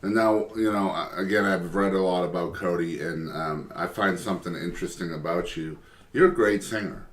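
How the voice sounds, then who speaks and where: A man's voice: deep voice, normal speed, echoey room. A man from Texas hosting an interview show, talking in a folksy, joking way and giving friendly advice. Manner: folksy and talkative, gives advice with humor. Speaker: podcast host. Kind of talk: casual interview chat.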